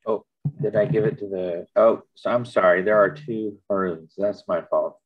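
A man's voice: fairly low pitch, steady tone, normal speed. Man, meeting host, helpful, apologetic, muffled call audio.

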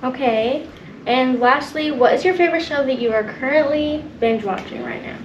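A woman's voice: high-pitched, normal speed, muffled recording, slight background noise. A woman vlogging and asking interview questions in a casual, chatty style.

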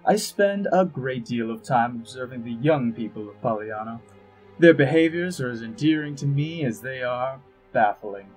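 An actor playing an urbane, wry, scheming ambassador in a fantasy audio drama, droll, precise and pompous, with a husky voice. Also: male voice, medium pitch, animated, normal speed, darkish tone, lightly acted, low-quality recording. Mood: smooth, calculating. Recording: music behind the voice.

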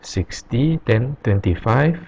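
A man teaching programming over a screen recording, explaining each step slowly with pauses. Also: medium pitch, expressive, gravelly, a little mellow, husky. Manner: slow, halting explanation with pauses. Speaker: programming tutor. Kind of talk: screen-recorded coding tutorial. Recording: dull lo-fi recording.

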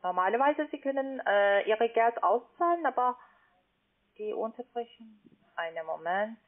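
Casting: female phone agent, highish voice, non-native accent, hesitant delivery, thin telephone sound. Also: animated. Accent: foreign, non-native German. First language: not German. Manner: halting, hesitant, searching for words. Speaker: call centre agent. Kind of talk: unscripted phone sales call. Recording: narrow tinny phone line.

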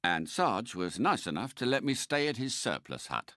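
An actor playing a suave, courteous British spy car in a video game, a polite and unflappable mentor with refined, precise phrasing. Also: male voice, medium pitch, very animated, normal speed, pushed and bright, dry recording, studio quality. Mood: cordial. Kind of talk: scripted game character voice lines.

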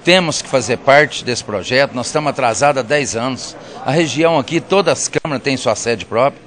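A man's voice: medium pitch, a little fast, a bit bright. Firm middle-aged Brazilian councilman. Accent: Brazilian Portuguese. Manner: firm, opinionated. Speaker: city councilman. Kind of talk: interview answer. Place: council chamber indoors. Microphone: handheld reporter mic.

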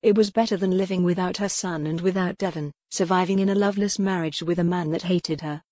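A woman's voice: fairly low pitch, steady tone, fast talker, a little mellow, no room sound, clean studio sound. A woman narrating a historical romance audiobook in a scripted, dramatic storytelling style.

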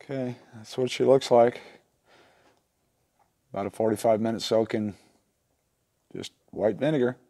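A man in his fifties giving a casual, plainspoken, step-by-step repair tutorial at an unhurried, instructional gait. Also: medium pitch, steady tone, dark tone. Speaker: instructor demonstrating lantern repair. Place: indoor wooden workshop at a workbench.

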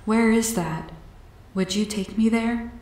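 A woman voicing a gentle, curious, wistful male alien in a story, with slow, measured, formal phrasing, over a noisy background. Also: fairly high pitch, echoey room. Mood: earnest, questioning.

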